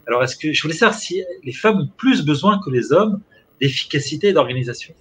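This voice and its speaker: A man from France interviewing a guest in a relaxed, joking way, often trailing off into fillers. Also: fairly high pitch, a little fast, husky. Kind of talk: casual interview.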